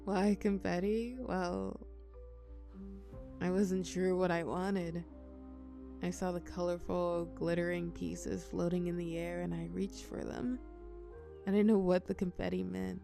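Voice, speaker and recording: A woman's voice: lowish voice, little pitch movement, unhurried, creaky, dark tone, soft background music. A young woman playing a shy, tender college girl confiding in a close friend, hesitant yet playful, in an intimate audio roleplay. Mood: tender, reflective. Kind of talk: intimate scripted audio roleplay, one side of a conversation.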